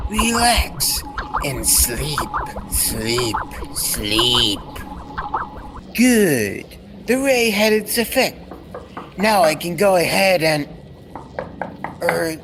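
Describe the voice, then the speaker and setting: A man playing a kindly, absorbed scientist of the future in old-time radio serial style, theatrical and deliberate. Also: a little high, exaggerated voice. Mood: hypnotic, soothing. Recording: reverberant, noisy stage-like recording.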